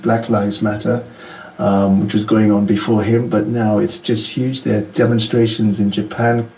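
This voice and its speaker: A man speaks in an earnest, measured way, and his voice sits fairly low. His voice is gravelly. He sounds far from the mic in an echoey room. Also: level tone, darkish tone, slight background noise. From Ghana. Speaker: caller sending a message to the show.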